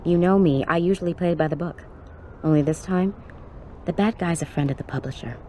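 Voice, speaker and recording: A woman acting as a tough policewoman in a game cutscene, with a very low, mellow voice. She talks bluntly and informally, like someone who knows the street. Faint noise sits behind her. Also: brisk, lightly acted. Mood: confiding, businesslike.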